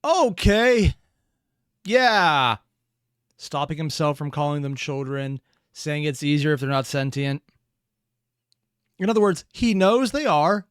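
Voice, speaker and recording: Man reacting aloud with lively, swinging pitch. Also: takes it slowly, pauses often, clear, resonant tone, dry recording, studio quality. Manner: musing aloud, thinking through observations. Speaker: reaction video host. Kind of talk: reaction commentary, casual and analytical.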